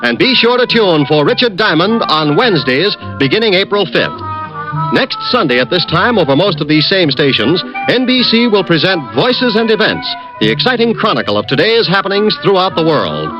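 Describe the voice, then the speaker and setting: A man's voice: sits high, big pitch swings, moderate pace. A man announcing the closing credits and notices in a polished, formal manner for an old radio program. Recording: dull old radio recording with music.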